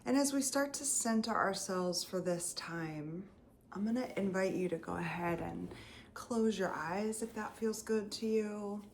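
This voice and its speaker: A woman leading a guided meditation as a Reiki healer, soothing and unscripted, often drifting into breathy near-whispers. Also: mid-range voice, measured pace. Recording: roomy echo, faint background noise.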